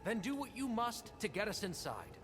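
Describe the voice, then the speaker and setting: An actor playing a pompous cult leader in a video game, who believes himself a reborn saviour and declaims grandly. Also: male voice, sits high, very animated, over music. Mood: grandiose, rapt. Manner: grandiose, slow, commanding declamation. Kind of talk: theatrical video game acting.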